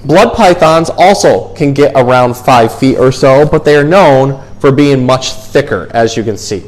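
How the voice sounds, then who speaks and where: A man's voice: medium pitch, unhurried, poor audio. A man with a mid-range voice presents as a zookeeper. He talks casually and explains things in an informal, educational way, as in an animal show with storytime.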